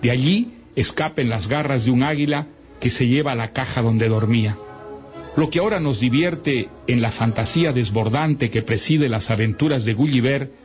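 A man with a mid-pitched voice reads a scripted literary introduction as a radio presenter. His delivery is formal and deliberate, in a slightly dull recording with faint music behind him.

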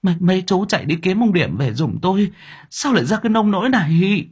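A man puts on a frantic middle-aged woman, her voice pitched far up and strained. She pleads in a shrill, breathless rush. The storybook reading is on a dull, lo-fi recording. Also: expressive, normal speed, fairly bright tone, husky, exaggerated voice. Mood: panicked.

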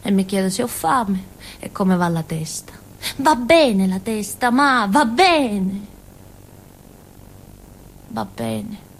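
An actress playing a naive, childlike village simpleton girl with a Calabrian accent, in a halting, repetitive theatre monologue. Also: mid-range voice, lively intonation, slightly rough, full character voice. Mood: wistful. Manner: simple, repetitive, halting, with questioning tags and giggles. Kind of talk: theatrical monologue in colloquial dialect-tinged Italian.

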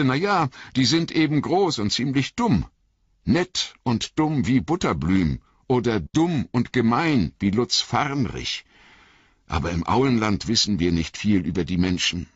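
A man's voice: fairly low pitch, husky, dry recording, lo-fi sound, heavily acted. A man reading an audiobook voices a young hobbit who asks eager, earnest questions.

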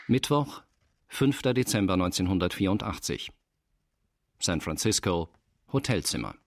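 Brief: sober scene announcer for radio crime drama, very low voice, scripted narration. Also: male voice, expressive, moderate pace. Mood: matter-of-fact.

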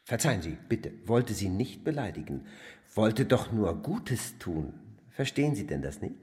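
An actor playing a polite, generous but insistent lame landlord, speaking formally in a radio play. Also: male voice, lowish voice, very animated, measured pace, a little mellow. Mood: apologetic. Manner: formal, courteous.

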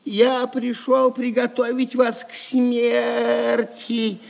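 Very high, creaky-voiced jester. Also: male voice, completely flat delivery, drawn-out words, full character voice. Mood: wry. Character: wry, loyal male jester disguised as a poor monk. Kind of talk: audio drama acting.

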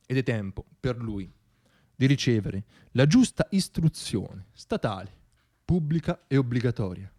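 A man's voice: medium pitch, big pitch swings, a little slow, breathy edge. A man performing a satirical radio theatre monologue in a dramatic, ironic storytelling manner. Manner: dramatic, ironic, shifting between hushed and declaimed.

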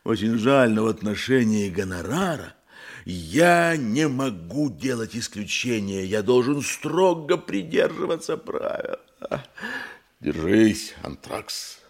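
An actor playing a bossy, money-minded lawyer, insistent and speechifying, in a radio play. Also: male voice, lowish voice, sing-song, slow pace, gravelly, exaggerated voice. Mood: officious, firm. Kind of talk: theatrical radio play acting.

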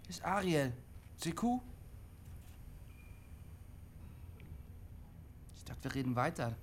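A man's voice: a little high, a little hiss or hum, natural voice. A man plays a lonely CB radio dreamer in his twenties in a radio play. He is defiant and insistent, forever talking himself up. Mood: lonely, searching. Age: 20s. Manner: boastful, insistent. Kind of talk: radio drama acting.

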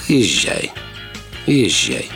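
Slow deep old father. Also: huge pitch swings, creaky, over music, exaggerated voice. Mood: agreeable. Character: big elderly father, agreeable, reassuring, fond of reminiscing. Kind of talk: radio drama acting.

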